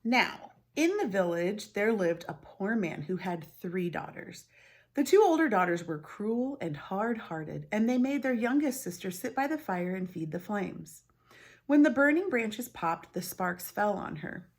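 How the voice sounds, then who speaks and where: A woman's voice: medium pitch, a little slow. Woman reading a storybook aloud. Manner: expressive, clearly phrased storytelling. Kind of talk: storybook read-aloud with informal asides.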